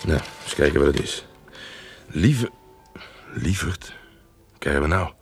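An actor in a radio play as a gruff, jealous middle-aged husband and father, blunt and scornful. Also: very deep voice, lively intonation, measured pace, soft background music, full character voice. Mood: suspicious, grumbling.

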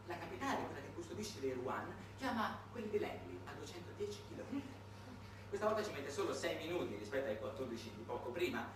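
A man performing a dramatic storytelling monologue, emphatic and sardonic, with halting pauses. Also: a little high. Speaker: stage monologue performer and narrator. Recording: echoey room, distant mic, noisy background.

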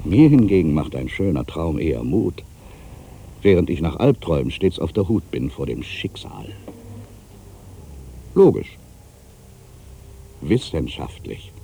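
An ageing writer in a radio play monologue, with an extremely low, creaky voice. He broods and grumbles theatrically, swinging widely in pitch from indignant exclamations to hushed, suspicious asides. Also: exaggerated voice. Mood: argumentative. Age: older. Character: ageing writer, musing, irritable, suspicious, quietly superstitious.

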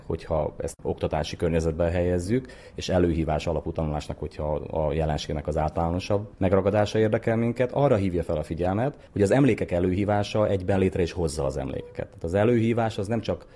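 A man's voice: deep voice, few pauses, warm tone. A man speaking as an academic expert in an interview, explaining research in an articulate, scholarly way. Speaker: psychologist and brain researcher, interview guest.

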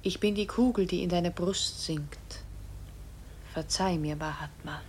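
A woman voicing a remorseful iron bullet in a radio play, soft, tender and solemn, pleading for forgiveness. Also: lowish voice, a little slow, slight background noise, close to an everyday voice. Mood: apologetic.

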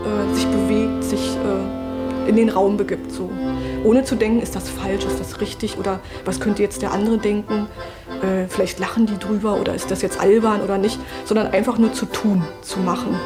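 A woman reflecting spontaneously in an interview, earnest and halting as she searches for words. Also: mid-range voice, little pitch movement, loud music behind the voice.

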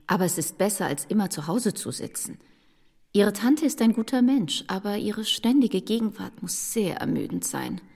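An actress playing a gentle, fair-minded married gentlewoman in a radio drama, considerate and measured, in a reverberant room. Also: mid-range voice, animated, gravelly. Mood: gently reasoning.

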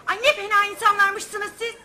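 An indignant, exclamatory lady in an absurd radio comedy. Her pitch is extremely high, and heavy noise covers the old recording. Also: level tone, normal speed. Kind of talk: Turkish radio theatre comedy acting.

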